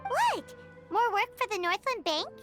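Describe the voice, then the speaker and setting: A woman voicing a tiny, childlike, nosy floating companion in a video game, squeaky, exclamatory and easily startled. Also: very animated, measured pace, heavily acted. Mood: curious, surprised. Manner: exclamatory, inquisitive, refers to self in third person. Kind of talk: video game cutscene acting. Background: loud game music.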